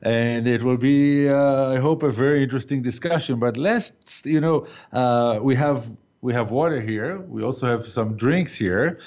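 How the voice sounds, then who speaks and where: Hesitant host with a muffled sound. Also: male voice, mid-range voice, moderate pace, a little mellow. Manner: hesitant, many fillers. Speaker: talk show host. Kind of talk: casual interview. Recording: dull lo-fi sound.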